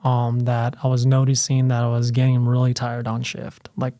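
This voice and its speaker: A middle-aged man telling his story in a relaxed podcast interview, full of "you know" fillers. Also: medium pitch, moderate pace, dry recording, studio quality. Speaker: guest, fire academy training cadre member.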